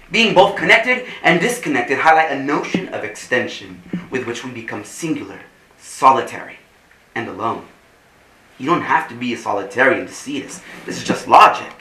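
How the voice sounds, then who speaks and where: An actor playing a solemn, philosophizing confidant in a staged play reading, pronouncing grand aphorisms. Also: male voice, medium pitch, sharp tone. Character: solemn philosophizing confidant preaching about loneliness. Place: room at a live staged reading. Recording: echoey room, clear background noise.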